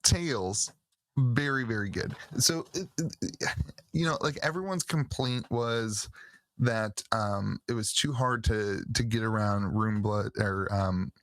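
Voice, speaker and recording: A lower-voiced man with a slightly hoarse, raspy throat, chatting on a casual podcast. He talks in halting bursts, restarting his sentences with plenty of fillers. The sound is dry and close. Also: fairly bright tone, no echo. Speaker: podcast co-host. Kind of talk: casual chat about a card game.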